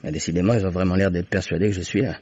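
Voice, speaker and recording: Quick-talking, husky fugitive, radio mystery. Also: male voice, low-pitched, steady tone, a little mellow. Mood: restless, determined. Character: anxious, honourable man on the run, wrongly suspected. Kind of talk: French radio mystery drama acting. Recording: dull, lo-fi old radio recording.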